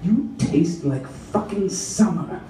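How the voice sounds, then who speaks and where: A young man reads fiction theatrically from a small stage, his voice fairly high and his pace very slow. He stretches phrases deliberately for comic drama. The recording is very echoey, made from out in the audience, with a clearly audible crowd. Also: lively intonation, far from the mic, very noisy.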